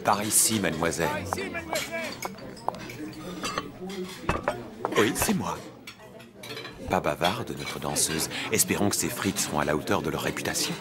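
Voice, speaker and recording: Very deep-voiced, courteous cartoon painter. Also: male voice, brisk, very noisy. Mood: wry, polite. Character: courteous Montmartre painter, wistful about the past. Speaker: voice actor. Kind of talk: French animated series dialogue.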